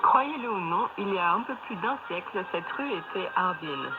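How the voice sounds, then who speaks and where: A woman's voice: lowish voice, expressive, normal speed, phone-quality sound. A woman giving scripted documentary narration on a shortwave radio broadcast. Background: loud music.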